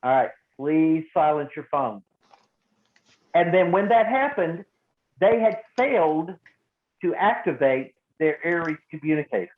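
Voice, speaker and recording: A man giving an instructional talk to a group as a trainer, speaking emphatically and deliberately and repeating points for stress. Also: a little high, animated. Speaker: emergency radio volunteer trainer. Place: indoor room, live training session. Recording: roomy echo, phone-like narrow band.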